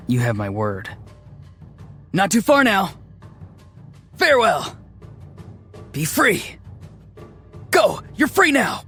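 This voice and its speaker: An actor voicing a gentle, odd, introverted young man in a video game, speaking in an earnest, hesitant, matter-of-fact way. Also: fairly high pitch, husky, exaggerated voice. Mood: earnest, calm, then energetic, urgent. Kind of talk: scripted game character lines.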